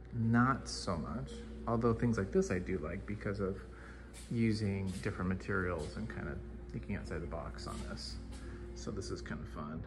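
A man giving low-key, casual commentary as an artist walking through an art gallery, often trailing into murmured asides. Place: large indoor art gallery with hard floors.

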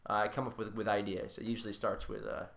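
A man co-hosting a podcast in casual chat, blunt and halting, repeating his phrases. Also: lowish voice, flat delivery, creaky, fairly bright tone. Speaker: podcast co-host.

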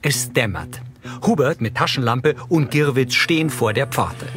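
A man's voice: mid-range voice, expressive, unhurried. A man reading scripted audio description, narrating scenes in the present tense. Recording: clear music behind the voice. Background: soundtrack music.

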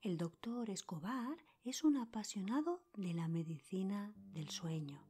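A woman whispering a scripted guided meditation in a soft, breathy, calm voice, leaving pauses between short phrases as a podcast host. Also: medium pitch. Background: music.

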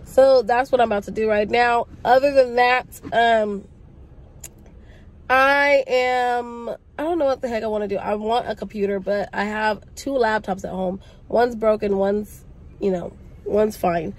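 A woman's voice: fairly high pitch, a little slow. A young woman talks into a phone held at arm's length inside her parked car. Her voice is congested from a cold. She rambles in a chatty, casual way, full of slang. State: sick, congested voice. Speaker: vlogger. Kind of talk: casual chat to camera. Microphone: phone camera at arm's length.

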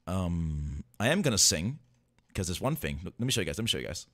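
A man's voice: deep voice, fast. A man hosting a livestream, chatting casually and jokily and often repeating himself. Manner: excitable, jokey, repeats himself. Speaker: livestream host. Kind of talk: casual livestream chat, greeting viewers.

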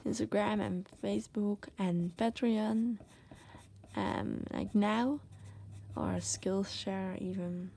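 A woman with a Dutch accent, a native Dutch speaker, giving a casual drawing tutorial as she works, soft-spoken and hesitant, searching for words between many fillers. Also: mid-range voice, fairly even intonation, takes it slowly, vocal fry, slightly rough. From Netherlands. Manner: soft-spoken, often drops to a whisper. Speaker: art tutor. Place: desk, indoors.

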